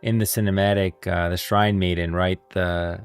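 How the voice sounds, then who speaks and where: Low, gravelly male streamer thinking aloud. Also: level tone, soft background music. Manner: halting, thinking aloud, repeats himself. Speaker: streamer, lore commentator. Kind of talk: casual live game-lore commentary.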